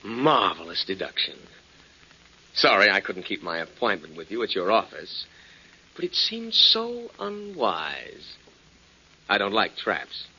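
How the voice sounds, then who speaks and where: A man's voice: on the low side, big pitch swings, measured pace, pushed and bright, slightly rough, full character voice. A man acting a smug, cold-blooded killer in a 1940s radio crime drama, sardonic and threatening. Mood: sardonic, suspicious. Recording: dull lo-fi 1940s radio recording.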